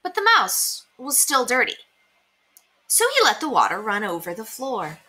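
A young woman reading children's stories aloud as an expressive storyteller. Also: fairly high pitch, normal speed, slightly rough. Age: young adult. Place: small indoor room.

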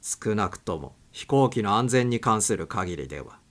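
A man's voice: on the low side, lively intonation, moderate pace, fairly bright tone. A man narrating a suspense story as a dramatic audiobook reading.

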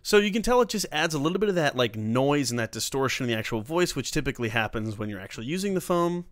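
A man hosts an informal software tutorial in a mid-range voice. He talks through the steps as he works, in a casual way. The voice-over is close and dry, with little room sound. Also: medium pitch, lively intonation, fast, no room sound. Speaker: tutorial host.

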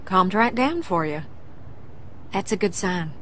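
An actress playing a kindly, practical, calm woman survivor in a dramatic video game. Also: medium pitch, measured pace, slight background noise. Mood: gentle.

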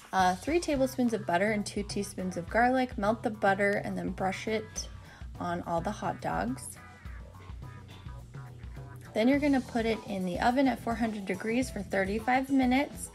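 A woman who hosts a cooking vlog, talking through recipes in a relaxed, chatty voice-over with the odd um. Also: medium pitch, creaky. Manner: chatty, relaxed step-by-step instructions with ums. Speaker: cooking vlogger. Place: home kitchen.

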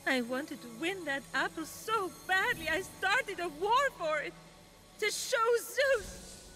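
An actress playing a vain, guilt-ridden goddess of love in a video game, dramatic and self-pitying. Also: female voice, very high-pitched, expressive, slow, bright, forceful tone, soft background music, full character voice. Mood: remorseful. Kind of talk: video game cutscene acting.

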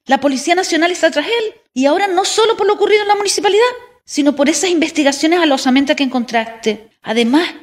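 A woman acting an assured, supportive leader of a women's house in a radio drama. Her voice is pitched clearly high. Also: lively intonation, quick pace. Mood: reassuring.

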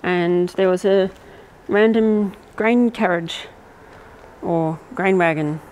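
A woman's voice: on the low side, takes it slowly, a little mellow, slight background noise, low-quality recording. A woman giving casual on-location commentary at a rural railway station, rambling and thinking aloud with fillers, recorded on a handheld camera mic. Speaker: railway video maker.

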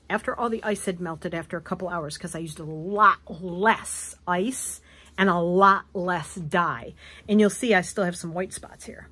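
A woman hosting a craft video, hoarse and wheezy from allergies, chatting casually as she shows fabric at a table. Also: medium pitch. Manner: chatty, rambling, self-correcting. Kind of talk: casual show-and-tell chat. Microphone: camera above a tabletop, speaker off frame.

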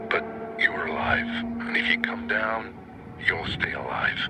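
Low-voiced, weary washed-up man. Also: animated, unhurried, background noise. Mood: grim, resigned. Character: weary older washed-up drunk ex-leader on radio. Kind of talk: dramatic video game acting.

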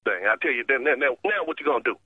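A man's voice: medium pitch, lively intonation, rapid-fire, slightly rough, no echo. A stubborn, combative man who repeats himself while arguing over a telephone line. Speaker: prank call target. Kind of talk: argumentative phone conversation. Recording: dull lo-fi phone line.

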